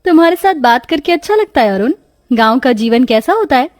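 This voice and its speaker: Woman playing a high-voiced carefree young city girl. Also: lively intonation, brisk, darkish tone, dry recording, studio quality. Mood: sweet.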